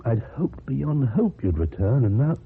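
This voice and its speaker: A man's voice: mid-range voice, sing-song, measured pace, exaggerated voice. A man acting in a radio drama as an earnest, devoted lover who looks back on his past, tender and hesitant. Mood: earnest, loving. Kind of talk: radio drama acting and first-person narration. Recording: muffled narrow-band old radio recording, clear noise.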